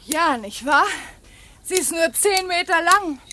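An actress playing a busy, gently teasing housewife aunt in a children's radio play. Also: female voice, very high voice, animated, unhurried, slight background noise, full character voice. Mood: wry teasing.

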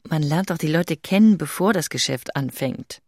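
A woman's voice: low-pitched, lively intonation, normal speed, a little mellow, no echo. Female questioner, conversational radio-drama delivery, inquisitive, recorded very dry. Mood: curious. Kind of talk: radio play acting.

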